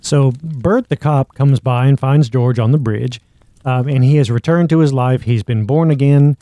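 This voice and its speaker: A man's voice: mid-range voice, normal speed, mellow, no room sound. A man hosting a podcast, giving a story recap in a conversational narrating style. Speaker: podcast host.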